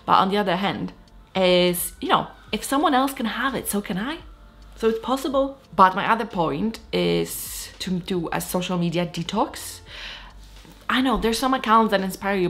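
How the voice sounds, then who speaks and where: A woman's voice: fairly low pitch, fairly bright tone. A woman in her thirties from Poland, giving frank, blunt motivational talk straight to camera. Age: 30s. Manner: frank, blunt, swears casually. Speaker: lifestyle vlogger.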